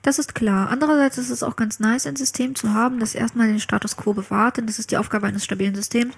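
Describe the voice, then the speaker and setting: A woman from Ukraine, a podcast guest, chatting casually and laughing often, her voice sometimes trailing off into a soft whisper. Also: fairly high pitch, steady tone, fast talker, creaky, breathy edge. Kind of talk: casual conversation.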